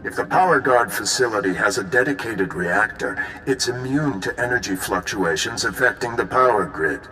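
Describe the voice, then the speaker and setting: An actor playing a decisive, businesslike spy chief briefing an agent in a video game. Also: male voice, lowish voice, animated, normal speed, sharp tone, big-room sound, faint music behind, rough recording. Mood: brisk, urgent. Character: gruff, brisk male spy chief giving mission briefings. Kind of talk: video game cutscene acting.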